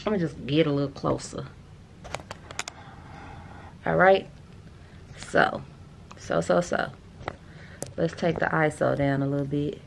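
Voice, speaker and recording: An American woman in her thirties chatting casually to camera in a sassy, slangy, animated way, as a vlogger showing a haul. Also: low-pitched, slow, creaky, long pauses, slight background noise. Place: furnished room at home, indoors.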